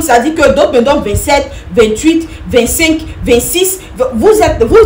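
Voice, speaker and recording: Lady in her 30s talking straight to viewers, keeps repeating points, kinda high voice, echoey room with a bit of hiss. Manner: emphatic, repetitive, addresses viewers directly. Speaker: vlogger giving advice. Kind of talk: direct-to-camera opinion talk. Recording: echoey room with faint noise.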